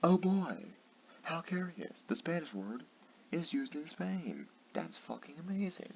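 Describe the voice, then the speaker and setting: A man's voice: fairly high pitch, big pitch swings, a little slow, gravelly, darkish tone. A tired man reading aloud late at night, stumbling over words and breaking off for sarcastic, swearing asides. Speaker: channel host. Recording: dull lo-fi with faint noise.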